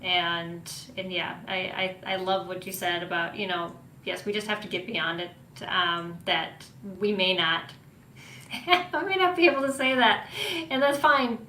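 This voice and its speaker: A woman's voice: a little slow, sharp tone. A woman hosting a podcast, chatting casually, laughing often and giving many small affirming replies. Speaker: podcast host. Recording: roomy echo with faint rumble.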